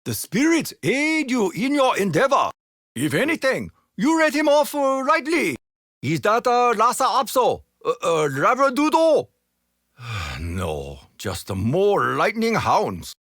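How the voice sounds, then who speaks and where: A stiff, honor-bound Japanese imperial soldier, speaks in formal game voice lines with a Japanese accent. His pitch leaps and plunges all over, sometimes within a single sentence. The recording is close and dry, with no room around it. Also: huge pitch swings, slow pace, fairly bright tone, no room sound, heavily acted. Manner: formal, stiff phrasing with sudden comic deflation.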